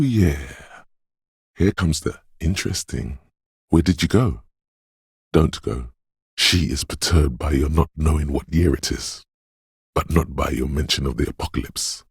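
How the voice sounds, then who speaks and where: Mystical inner voice, extremely low, heavily husky, brooding, theatrical, intimate, video game narration. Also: male voice, no echo, studio quality, exaggerated voice. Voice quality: very deep, gravelly, husky. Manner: brooding, theatrical, addressing the listener as you. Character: dreamy, mystical inner voice of intuition, ominous and intimate.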